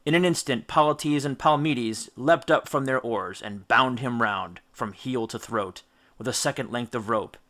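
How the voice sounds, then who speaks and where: Male audiobook reader: mid-pitched, bright, forceful, theatrical narration of an adventure tale. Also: a little slow. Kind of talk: dramatic scripted storybook narration.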